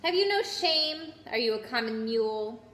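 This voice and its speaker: A woman's voice: on the high side, lively intonation, normal speed, a bit bright. A woman voicing a proud, wrathful male centaur in an indignant, declamatory storybook reading. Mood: outraged. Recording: roomy echo with noise.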